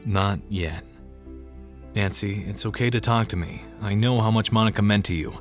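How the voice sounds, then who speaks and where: A man's voice: lowish voice, moderate pace, recorded from a distance, faint music behind, natural voice. A man playing a dogged, hard-boiled police inspector and protective uncle in an audio crime drama, with a gritty, terse delivery. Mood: gentle, grave. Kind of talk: audio crime drama acting with noir first-person narration.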